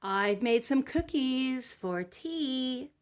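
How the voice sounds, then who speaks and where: A woman voicing a welcoming, generous mother in a picture story, with a slow, sing-song, exclaiming delivery. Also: high voice, animated, pitched above the performer's own voice, full character voice. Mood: generous, bright. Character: welcoming, generous mother serving home-baked cookies.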